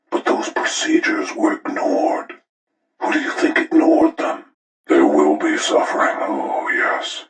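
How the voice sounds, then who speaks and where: An older killer mastermind for a horror game, with a rock-bottom, gravelly voice. He moralizes and taunts calmly, every word slow and ominous. The sound is filtered like a tape playback, echoing and set back from the microphone. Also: very deep voice, full character voice. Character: menacing older male serial killer mastermind, calm and moralizing. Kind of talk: scripted villain monologue for a horror game. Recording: roomy, distant, radio-like playback.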